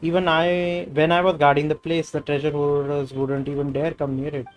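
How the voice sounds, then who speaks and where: A man's voice: fairly high pitch, flat delivery, moderate pace, slight background noise, close to an everyday voice, low-quality recording. A man with an Indian accent voicing an evasive female adventurer full of excuses, reading game dialogue aloud. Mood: defensive, sheepish.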